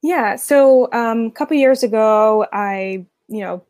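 A young woman from Guyana, a guest talking casually in an interview, relaxed, with many fillers and restarts. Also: a little high, unhurried, dry recording, studio quality. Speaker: guest, finance community founder.

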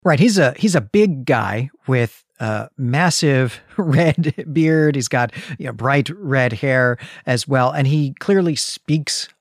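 A man's voice: mid-range voice, lively intonation, slow pace, hardly any pauses, dry recording, studio quality. A man co-hosting a podcast, talking reflectively and conversationally about stories and posing questions. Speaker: podcast co-host. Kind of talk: conversational literary podcast discussion.

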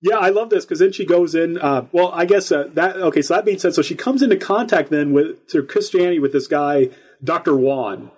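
Husky-voiced male interview host. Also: a little high. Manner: casual, quick affirmations and searching questions. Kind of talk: conversational interview.

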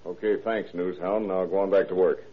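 An actor playing a gruff, probing police detective with clipped, dryly sarcastic delivery in a 1940s radio crime drama. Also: male voice, low-pitched, fairly even intonation, brisk, close to an everyday voice. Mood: dry, commanding. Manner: clipped, commanding, questioning. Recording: muffled, band-limited old radio recording.

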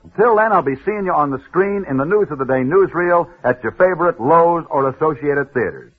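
A man's voice: a little high, animated, husky. Dramatic 1940s radio sports host, man. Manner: dramatic, punchy delivery with sudden pauses. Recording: tinny old radio broadcast.

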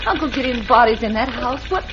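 A woman playing a distressed, trusting young ranch niece with halting, dramatic delivery in an old radio western. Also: a little high, fairly bright tone, close to an everyday voice. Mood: distraught. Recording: muffled, noisy vintage radio recording.

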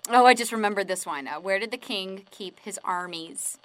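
A woman telling corny jokes and one-liners, slowly and with a giggle, in a radio drama. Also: medium pitch, lightly acted, low-quality recording. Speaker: joke teller.

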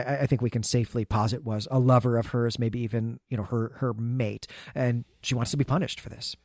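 A man's voice: medium pitch, darkish tone, no room sound, clean studio sound. A man hosting a podcast, talking through a literary recap in a careful, explanatory and emphatic conversational way. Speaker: podcast host.

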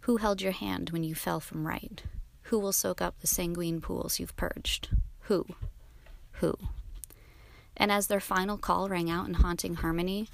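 Creaky, low-voiced woman reading poetry. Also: fairly even intonation, unhurried. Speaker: poet.

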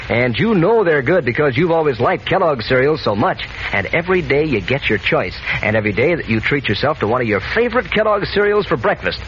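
A man's voice: a little high, fairly bright tone, slight background noise. A man announcing a 1940s radio advertisement for children with folksy, enthusiastic salesmanship, on a muffled old recording. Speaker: sponsor announcer.

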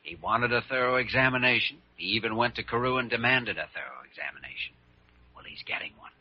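An actor playing an earnest, confident hospital doctor with dry wit, explaining things in a measured way in an old radio drama. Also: male voice, mid-range voice, expressive, a little hiss or hum, lightly acted. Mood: amused, firm. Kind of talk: 1940s-50s radio drama acting. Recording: dull vintage radio recording.

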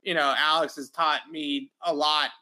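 A man's voice: fairly high pitch, level tone, moderate pace, sharp tone. A young man hosting a podcast, rambling in casual chat with a nasal voice and many fillers. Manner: rambling, filler-heavy, repeats words. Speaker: podcast host.

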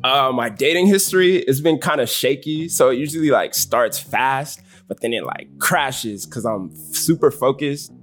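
A man's voice: mid-range voice, expressive, moderate pace, few pauses, a bit bright, faint music behind. A man in his twenties from Zimbabwe, a dating show contestant, talking earnestly about himself. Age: 20s. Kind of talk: casual chat.

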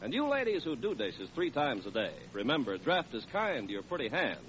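A man's voice: mid-range voice, big pitch swings, a little fast, a bit bright. A man working as a 1940s radio announcer with a polished, emphatic salesman's delivery. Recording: muffled, faintly hissy vintage radio.

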